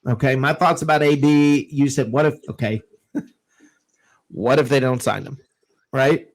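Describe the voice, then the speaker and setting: A man's voice: medium pitch, fairly even intonation, a little slow. A man hosting a casual live sports chat, speaking in an informal, blunt and emphatic way. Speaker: sports show host.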